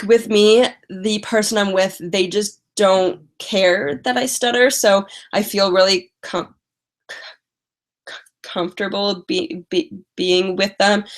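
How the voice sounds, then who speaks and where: A young woman talks casually on a live stream, her speech full of stutters and repeated first sounds. Her voice sits a little low and she speaks very slowly, in a soft, chatty way. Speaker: vlogger.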